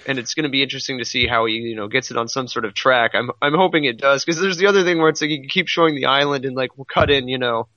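A man's voice: medium pitch, racing, hardly any pauses, bright, forceful tone, no echo, lo-fi sound. A man in a casual podcast discussion of manga, rambling a little as he thinks aloud. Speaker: podcast co-host.

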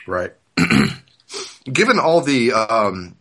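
A man in his thirties with a fairly low-pitched voice hosts a casual podcast. He talks informally and explains things in a conversational way. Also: sing-song, extremely slow, bright, forceful tone. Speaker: podcast host.

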